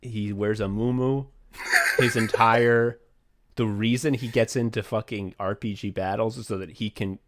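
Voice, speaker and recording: A young man co-hosting a podcast in casual chat, rambling and swearing freely, getting excited and laughing as he talks. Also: lowish voice, measured pace. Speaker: podcast co-host and writer.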